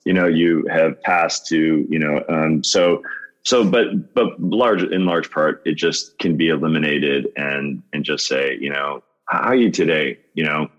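Very deep-voiced man in his fifties. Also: a little slow, highs cut off. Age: 50s. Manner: earnest, with frequent laughs and restarts. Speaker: podcast guest. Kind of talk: casual conversation.

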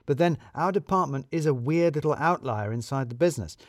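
A man's voice: fairly high pitch, fairly quick, dry recording, clean studio sound. A man narrating a scripted first-person comic story in a wry, self-deprecating manner.

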